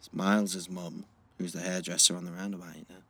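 A gentle teenage boy with a low voice. He is understated and thoughtful, a little hesitant, in the natural style of an audio play. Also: flat delivery, a little fast, slightly rough, no echo, exaggerated voice. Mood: quiet banter. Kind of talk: naturalistic audio drama dialogue.

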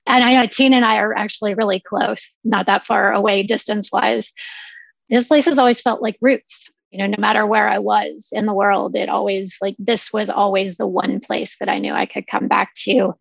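A woman's voice: medium pitch, fairly even intonation, moderate pace, creaky, no echo, dull audio. A woman from Virginia, a guest on a podcast, talking casually and reflectively, often saying "you know". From Highland County, Virginia. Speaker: guest, freelance writer. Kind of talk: conversational interview answer.

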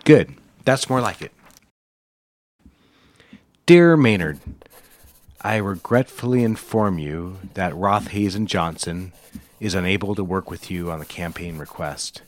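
An actor playing a cold, stern advertising executive in an audio drama, curt, formal and condescending. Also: male voice, low-pitched, expressive, unhurried, long pauses. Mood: businesslike.

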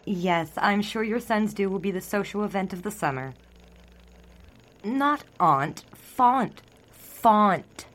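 An actress playing a brisk, long-suffering 1920s newspaper secretary in a period audio drama, dry, clipped and sarcastic. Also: female voice, medium pitch, animated, fairly bright tone. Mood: exasperated, polite.

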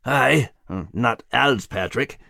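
A man voicing a gruff, loyal male dog with a Scottish accent and plain-spoken, growly delivery in a storybook. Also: fairly high pitch, sing-song, moderate pace, fairly bright tone, no echo, clean studio sound, voice pushed up, full character voice. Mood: quiet, tender.

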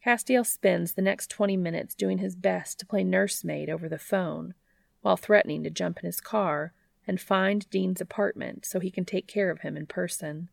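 Low, creaky-voiced woman narrating a story. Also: normal speed, no room sound, clean studio sound. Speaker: audiobook narrator of fan fiction.

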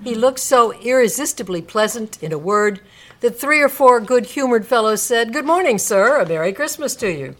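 Expressive woman narrator, dramatic storytelling. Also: fairly high pitch, normal speed. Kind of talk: dramatic storytelling, live radio reading of a classic.

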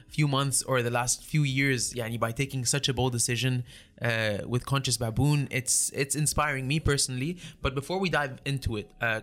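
Bright-voiced male podcast host. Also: medium pitch. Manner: rambling questions with repeated words. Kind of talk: conversational interview.

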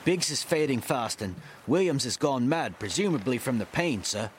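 An actor playing a dutiful, level-headed ship's officer who reports formally and respectfully in an audio drama. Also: male voice, medium pitch, animated, moderate pace, slight background noise, close to an everyday voice. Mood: grave, respectful. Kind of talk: period seafaring audio drama acting.

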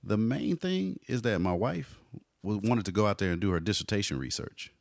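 A man in his forties, a hip hop artist telling stories in a relaxed interview, dropping "you know" often. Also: on the low side, normal speed, creaky, no echo, studio quality. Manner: laid-back storyteller, frequent "you know". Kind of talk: casual conversational interview.

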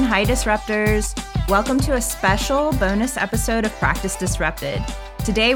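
A woman co-hosting a podcast, welcoming guests and asking questions in an upbeat, curious way. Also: mid-range voice. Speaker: podcast co-host.

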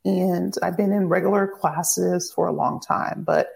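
A woman's voice: on the low side, steady tone, measured pace, creaky, a little mellow. A woman in her thirties, an author and advocate, telling her life story in a candid, upbeat way as a podcast guest. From Virginia, near Washington DC. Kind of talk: podcast interview.

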